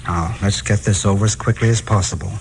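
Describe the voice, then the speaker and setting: An actor playing a formal, businesslike, impatient swindler in a 1950s radio crime drama. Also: male voice, on the low side, fairly even intonation, a little hiss or hum, close to an everyday voice. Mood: brisk, formal. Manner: formal, brisk. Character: brisk, smooth-talking male swindler, businesslike and impatient. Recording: old radio recording, crowd-like background.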